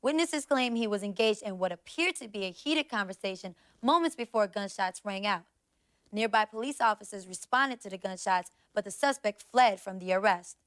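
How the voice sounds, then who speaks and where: A woman's voice: medium pitch, a bit bright, clear, resonant tone, lightly acted. Composed American newsreader, played by a woman, game cutscene, formal bulletin delivery, mid-range voice. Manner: formal, measured. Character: composed female television news anchor. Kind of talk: television news reading.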